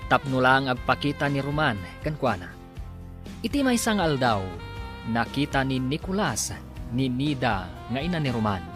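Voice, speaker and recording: Radio drama narrator, Filipino accent, lively swooping delivery, prominent music bed. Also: mid-range voice. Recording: music under the voice.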